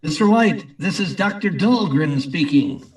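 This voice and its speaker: A man's voice: fairly high pitch, lively intonation, a little slow, slightly rough, distant mic. A man playing an agitated scientist in an old-time radio drama, speaking with urgent insistence. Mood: alarmed. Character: agitated male scientist phoning in alarm.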